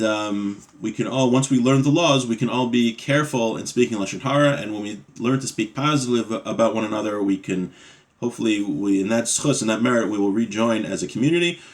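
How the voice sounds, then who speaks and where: Man, teaching a religious class, reads Hebrew then explains in English, mid-pitched voice, conversational. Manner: conversational, frequent "right?" and "you know". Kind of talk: text study class, reading Hebrew then translating.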